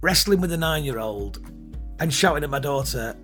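Animated comedian telling stories. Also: male voice, a little high, moderate pace, faint music behind. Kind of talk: comic anecdote.

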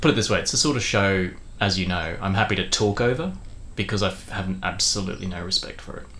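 A man's voice: low voice, fast, a bit bright, slight background noise. A middle-aged man co-hosting a podcast in casual banter with a friend, blunt and given to swearing. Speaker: podcast co-host. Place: home study.

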